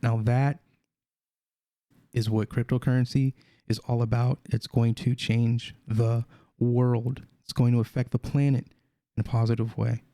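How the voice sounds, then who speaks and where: A man hosting a video, reading a news article aloud and adding his own comments, halting with fillers and restarts. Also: medium pitch, dark tone, no room sound, studio quality. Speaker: crypto video host.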